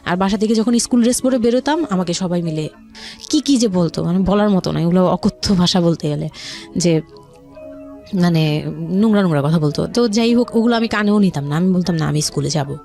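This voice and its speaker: Bangladeshi woman, slightly low voice, colloquial personal storytelling, repeats and rephrases, interview guest, music underneath. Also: a little fast, creaky, mellow. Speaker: guest telling her life story.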